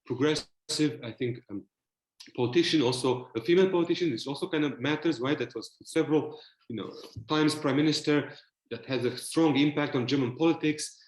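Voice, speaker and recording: A man's voice: fairly high pitch, fairly quick, reverberant, low-quality recording. A man from Romania with a Romanian accent, speaking as a panelist in a virtual panel discussion, thoughtful and hesitant with many fillers and restarts. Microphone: video call.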